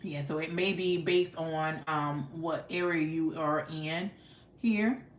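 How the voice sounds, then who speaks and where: A fairly low-pitched American woman in a roomy, echoey recording, hosting a casual livestream. She chats effusively with viewers in folksy slang, often doubling up her thanks and affirmations. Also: steady tone, slow pace, slight background noise. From United States. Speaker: livestream host.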